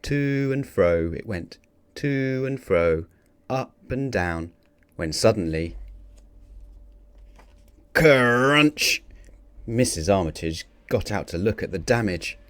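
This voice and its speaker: Lowish-voiced man reading a children's story. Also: expressive, slow, pauses often, fairly bright tone, dry recording. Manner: theatrical on sound-effect words. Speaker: storybook reader. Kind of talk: expressive children's read-aloud narration.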